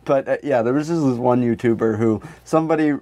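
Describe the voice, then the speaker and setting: A man's voice: mid-range voice, fast talker, warm tone. A man co-hosting a comedy podcast in casual chat, joking and telling stories in a sarcastic, sweary way. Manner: sarcastic, profane, anecdotal joking. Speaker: podcast co-host.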